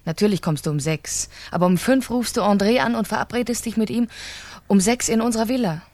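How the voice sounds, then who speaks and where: An actress playing a cold, calculating wife who plots in a precise, commanding manner in a German radio crime drama. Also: female voice, mid-range voice, brisk, darkish tone, no echo, lightly acted. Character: cold, calculating French wife plotting her husband's murder.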